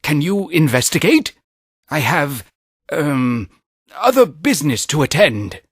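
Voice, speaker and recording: Nervous little game creature, bit raspy, up and down all over, dodgy and unsure. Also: medium pitch, very animated, unhurried, dry recording, studio quality. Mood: worried, evasive. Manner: hesitant, evasive. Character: anxious, busy little creature sending the player to investigate. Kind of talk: video game cutscene acting.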